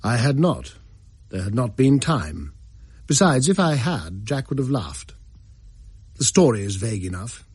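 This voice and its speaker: An actor playing a grave upper-class gentleman in a period ghost story audio drama, husky, formal and measured in manner. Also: mid-range voice, big pitch swings, no room sound, heavily acted. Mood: resigned, worried. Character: grave upper-class gentleman brother recounting a dark legend.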